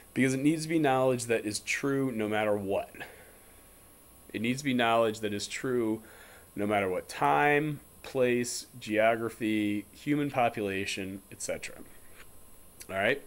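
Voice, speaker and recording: A man in his twenties with an American accent, giving an informal philosophy lecture in a deliberate, repetitive way and checking in with his listeners. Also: on the low side. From Connecticut, United States. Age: 20s. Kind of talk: informal explanatory lecture over slides.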